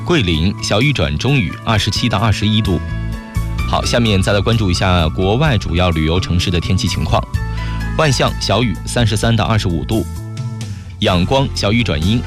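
A man reading a scripted radio weather forecast in a steady, measured way. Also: on the low side. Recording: music playing underneath. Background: music.

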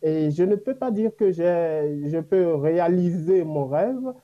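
A man from Africa whose first language is a Gbe language, answering interview questions reflectively with many pauses and fillers. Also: a little high, lively intonation, dull audio. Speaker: guest.

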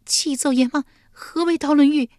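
A terrified young wife stammers out her answers before the court of hell in a storybook tale, acted by a woman narrator. Her voice rises high and shakes. The sound is close and dry. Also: sing-song, moderate pace, no echo. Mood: frightened.

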